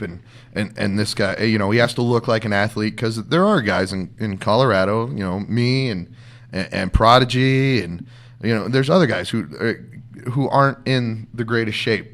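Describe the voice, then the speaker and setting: A man, a professional wrestler, talking as an interview guest in a rambling, halting way with frequent laughs. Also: on the low side, a bit bright. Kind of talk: casual interview conversation.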